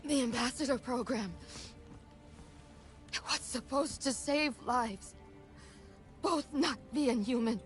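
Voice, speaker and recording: A woman playing a frightened, remorseful human in a video game, pleading in a halting way. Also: high voice. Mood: pleading, fearful. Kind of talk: video game cutscene acting. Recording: music underneath.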